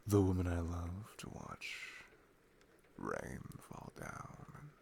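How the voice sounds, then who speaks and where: A man playing an affectionate, playful boyfriend in an ASMR roleplay, talking softly and intimately. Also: low voice, huge pitch swings, gravelly, a little hiss or hum, full character voice. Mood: tender, content. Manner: soft, unhurried, intimate, chuckling. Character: affectionate, playful, sleepy-morning boyfriend, cuddly and sweet.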